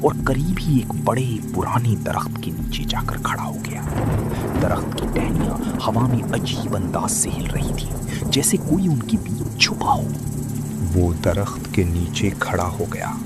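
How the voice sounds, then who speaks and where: A man narrating a scripted horror story in a dramatic, suspenseful and deliberate manner. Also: fairly low pitch. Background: loud music.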